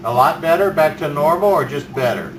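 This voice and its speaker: A man's voice: fairly high pitch, lively intonation, a little fast. A middle-aged man working as an ICU doctor, asking a patient questions in an informal, plain-spoken, reassuring way. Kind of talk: bedside questioning by telemedicine. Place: hospital monitoring office, indoors. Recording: echoey room, distant mic, heavy noise.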